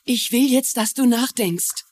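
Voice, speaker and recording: An actress playing a caring, insistent confidante of a prisoner in a TV crime drama. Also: female voice, a little high, measured pace, a little mellow. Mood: urgent. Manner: insistent, soothing. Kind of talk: German-dubbed television crime drama.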